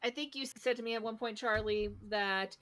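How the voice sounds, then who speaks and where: A woman's voice: fairly high pitch, moderate pace, a bit bright, a little hiss or hum. A woman chatting informally and bluntly about film as a podcast panelist. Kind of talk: casual film discussion.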